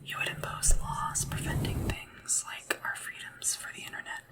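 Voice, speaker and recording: A woman speaking softly and whispering in an ASMR roleplay as a polite, hesitant, deferential sleep assistant to royalty. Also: normal speed, recorded from a distance. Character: nervous, devoted royal sleep assistant to a dragon ruler.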